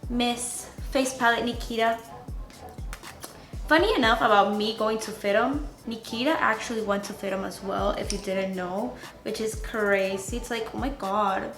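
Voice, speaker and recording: A young woman of about twenty with a Latin American Spanish accent speaks in a fairly high voice. She is chatty and excited, talking slangily like a beauty YouTuber. The room is clearly echoey, with some music behind her. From Venezuela, grew up in the Dominican Republic. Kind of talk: casual makeup vlog to camera. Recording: roomy echo.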